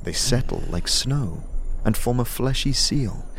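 A man narrating a fantasy audio drama with measured, theatrical storytelling in a dramatic scripted style. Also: medium pitch, animated, a little hiss or hum. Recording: prominent music behind the narration.

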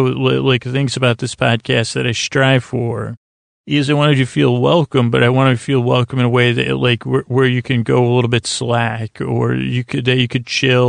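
Creaky, monotone man lulling listeners to sleep. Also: medium pitch, no echo. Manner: lulling, soothing delivery; meandering, halting, trailing off mid-thought. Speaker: podcast host. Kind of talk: rambling bedtime sleep podcast monologue.

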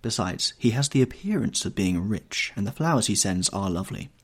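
A man's voice: on the low side, expressive, fairly quick, breathy edge. A man voicing a vain, affected older mother, a former actress, in a stately, genteel, theatrical manner for an audiobook. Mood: complacent, genteel. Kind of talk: audiobook character dialogue.

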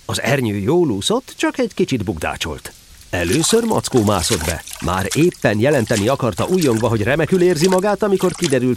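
A man narrating a scripted children's story in an expressive storytelling manner. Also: mid-range voice, background noise.

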